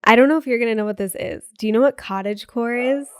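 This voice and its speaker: Bubbly young woman with a smooth voice. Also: on the high side, dry recording, studio quality. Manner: bubbly, laughs often, quick asides. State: sick with a cold. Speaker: podcast co-host. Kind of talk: casual chat.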